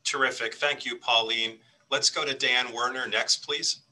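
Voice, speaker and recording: A man moderating a webinar panel, talking haltingly with many fillers. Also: mid-range voice, fairly even intonation, bright, forceful tone.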